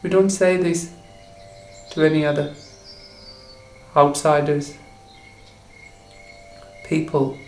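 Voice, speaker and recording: A man guiding a meditation for relaxation and sleep, speaking in short, halting phrases. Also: a little high, steady tone, a little mellow. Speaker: meditation guide. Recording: roomy echo with faint insect noise.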